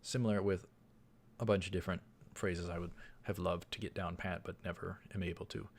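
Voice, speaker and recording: A man's voice: sits low, little pitch movement, fairly quick, husky. A man hosting a let's play, reading a visual novel aloud with rambling, enthusiastic commentary. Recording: game music behind the voice. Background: video game soundtrack.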